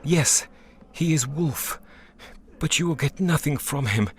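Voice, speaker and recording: An actor playing a grim, discouraging asylum informant in a video game, speaking in a deliberate, matter-of-fact way. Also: male voice, on the high side.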